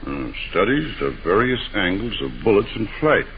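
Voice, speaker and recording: A man playing an emphatic businessman witness in an old-time radio mystery, speaking deliberately. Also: on the low side, big pitch swings. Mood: cooperative, recollecting. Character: eager, emphatic businessman witness, a male partner. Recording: dull, noisy old radio broadcast recording.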